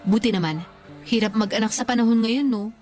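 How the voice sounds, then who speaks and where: A woman's voice: fairly high pitch, a little fast, a little mellow, lightly acted. A woman playing a frank, teasing best friend in a Tagalog radio drama, talking in playful slang. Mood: gossipy. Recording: music behind the voice.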